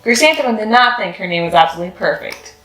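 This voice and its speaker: A woman's voice: medium pitch, lively intonation, fast, fairly bright tone. Woman reading a picture book, roomy sound. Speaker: children's read-aloud storyteller. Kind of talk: scripted picture-book narration. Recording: roomy echo with faint music.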